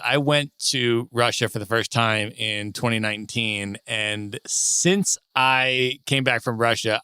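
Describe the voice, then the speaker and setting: A man's voice: medium pitch, pushed and bright, smooth, no room sound. A man hosting a travel podcast, asking questions enthusiastically and talkatively. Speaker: podcast host. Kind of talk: interview questions.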